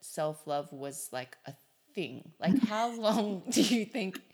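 A woman hosting a podcast interview, talking in a bright, encouraging way and laughing often. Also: mid-range voice, expressive, slow, husky. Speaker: podcast host.

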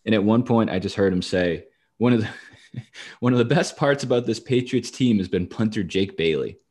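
A man's voice: on the low side, moderate pace, a little mellow, slightly rough. A man co-hosting a sports podcast in casual banter, with a dry, wry, profane way of talking.

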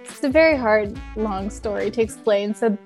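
A woman's voice: medium pitch, moderate pace, darkish tone, soft background music. A young woman from Thailand, a podcast guest talking casually with chatty, self-deprecating humor.

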